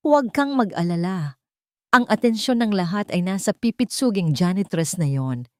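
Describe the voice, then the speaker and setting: A woman with a Filipino accent voicing a scheming, vengeful female head nurse in an audio story, hushed and menacing. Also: medium pitch, expressive, moderate pace, no echo, studio quality, lightly acted. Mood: sinister, gloating.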